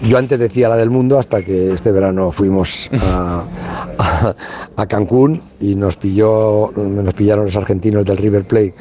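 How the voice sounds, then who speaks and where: Male tournament organizer from Spain, deep warm voice, rambling colloquial radio guest. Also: fairly even intonation, a little slow. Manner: rambling and colloquial, lots of restarts and tag questions. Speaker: youth football tournament organizer. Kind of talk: casual radio interview.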